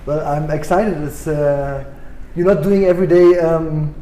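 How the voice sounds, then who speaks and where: A man in his forties with a German accent, answering interview questions thoughtfully with many pauses and restarts. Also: on the high side, fairly even intonation, mellow, roomy sound, slight background noise, poor audio. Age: 40s. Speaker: guest executive.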